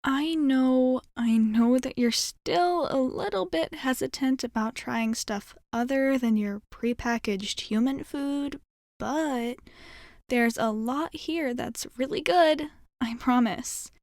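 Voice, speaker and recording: A woman acts out a friendly female alien rescuer in an ASMR roleplay, in a slightly high voice at a slow, easy pace. She is soft and hesitant, caring and gently teasing. The sound is dry and close, with no room echo. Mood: gentle, reassuring. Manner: soft, hesitant, reassuring, conversational.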